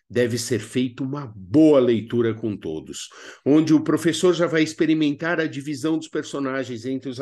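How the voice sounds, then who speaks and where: Brazilian man teaching in expressive phrases. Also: medium pitch. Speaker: workshop teacher. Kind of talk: instructional video narration.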